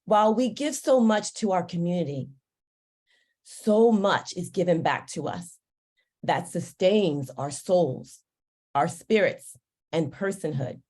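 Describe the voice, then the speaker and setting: A woman whose first tongue is Yoruba delivers a formal ceremony address. Her voice sits a little low, and she takes her time, pausing between phrases in a deliberate, uplifting way. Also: expressive, darkish tone. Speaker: ceremony speaker. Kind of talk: formal commencement speech.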